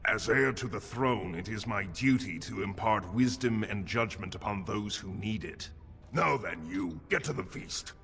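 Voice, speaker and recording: An actor playing a domineering dwarf prince and heir in a video game, pompous and commanding, in long hall reverb. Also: male voice, lowish voice, measured pace, a bit bright.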